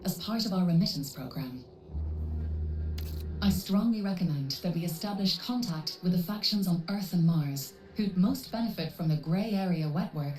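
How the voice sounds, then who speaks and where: A woman playing a composed, coolly corporate AI handler in a video game, speaking politely in measured, clinical, formal phrases. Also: on the low side, a little mellow, natural voice. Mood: calm, formal. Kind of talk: scripted video game cutscene dialogue. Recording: roomy processed echo, distant, music underneath.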